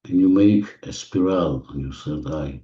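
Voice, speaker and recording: A man leading a guided visualization in non-native English phrasing, giving gentle, repetitive instructions with deliberate pauses, recorded muffled and distant in an echoey room. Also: deep voice. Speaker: guided meditation leader. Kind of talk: guided visualization, spoken slowly to a listener.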